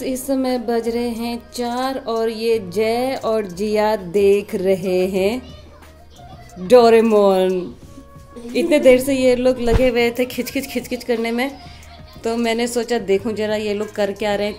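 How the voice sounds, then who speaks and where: A woman's voice: medium pitch, dark tone. A young woman narrating her day to a phone held at arm's length, outdoors at a rural house. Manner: chatty, narrating to the phone camera. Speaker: vlogger. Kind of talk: casual daily vlog narration.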